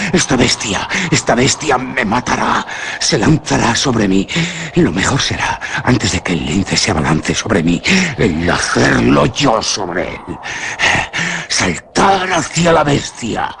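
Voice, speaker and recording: An actor playing an anguished, feverish lost man in a horror radio play, with a Castilian Spanish accent and a theatrical, halting, solemn delivery. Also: medium pitch, gravelly, fairly bright tone, full character voice. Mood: frightened. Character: anguished, feverish lost man narrating his own haunting. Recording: noisy radio drama recording.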